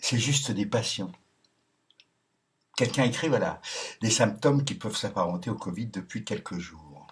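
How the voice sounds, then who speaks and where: A man's voice: on the low side, normal speed, long pauses. A man in a casual monologue, reading messages aloud and adding sardonic remarks, with frequent chuckles, ums and restarts. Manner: hesitant, many ums and restarts. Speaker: video commentator.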